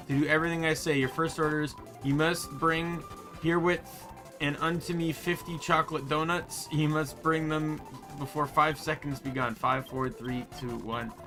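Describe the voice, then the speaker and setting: A man acts out a young fairy girl from a video game who fancies herself royalty. The voice is bossy and teasing, slightly high and bright. Faint music runs behind it. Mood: self-important, bossy. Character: bossy, cheeky young fairy girl, self-styled queen.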